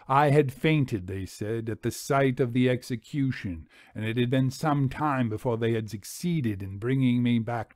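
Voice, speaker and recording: A grave, haunted narrator of a Victorian mesmeric tale, read by a man. He speaks slowly and deliberately in formal literary phrases, at a middling male pitch. The sound is very dry, as in storybook narration. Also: no echo, natural voice. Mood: haunted, subdued. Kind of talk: dramatic story reading.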